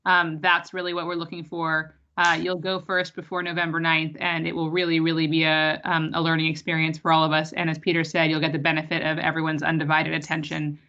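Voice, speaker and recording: Bright-toned woman over dull call audio. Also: deep voice, monotone, fairly quick, hardly any pauses. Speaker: workshop co-organiser. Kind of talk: online meeting chat.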